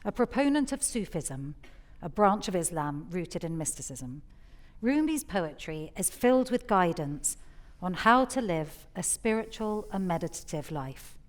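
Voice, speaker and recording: A woman's voice: on the low side, big pitch swings, a little slow. A woman host giving articulate, explanatory scripted introductions to poems on a theatre stage.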